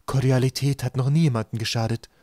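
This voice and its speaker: A man playing a manipulative island leader in a storybook, measured, persuasive and condescending. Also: medium pitch, steady tone, mellow, no echo, studio quality, natural voice. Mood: soothing. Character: smooth-talking manipulative island leader, calmly hostile man.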